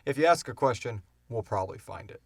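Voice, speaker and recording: A man's voice: medium pitch, animated, quick pace, vocal fry, no echo. A man hosting a casual unscripted podcast Q and A, talking informally and swearing freely. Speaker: podcast host and producer.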